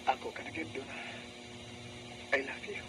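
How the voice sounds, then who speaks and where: Give me an actor as a devoted young Cebuano suitor. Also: male voice, normal speed, background noise, natural voice. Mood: devoted, loving. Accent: Cebuano Filipino. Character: Axel, devoted, earnest young male suitor. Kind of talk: Cebuano radio drama acting.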